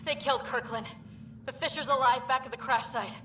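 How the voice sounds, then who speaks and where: A woman's voice: high voice, fairly even intonation, brisk. A woman playing a young, level-headed recon specialist in a video game, speaking in a dry, casual way. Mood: concerned. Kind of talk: naturalistic video game dialogue acting. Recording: game audio over loud ambient noise.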